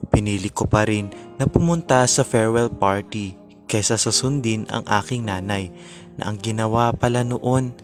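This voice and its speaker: A radio DJ, acted by a man narrates a confessional letter in a fairly low voice for an amateur drama. Music plays clearly behind him. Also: moderate pace, close to an everyday voice. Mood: regretful. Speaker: radio DJ reading a listener's letter. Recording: prominent background music.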